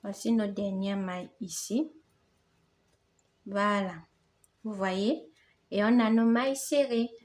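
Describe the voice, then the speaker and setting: A woman's voice: medium pitch, steady tone, takes it slowly, long pauses, a little mellow. A woman from Gabon with a Gabonese French accent, a native Fang speaker, hosting a casual crochet tutorial in a reassuring, affectionate way. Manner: affectionate, reassuring, addresses viewers as "les amours".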